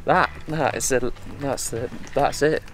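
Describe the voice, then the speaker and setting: A man with a medium-pitched voice presents casually on location. He is recorded outdoors, with noticeable ambient noise around him. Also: a little slow, a bit bright. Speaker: vlog presenter. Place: outdoors at a nature reserve. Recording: outdoor location audio with clear background noise.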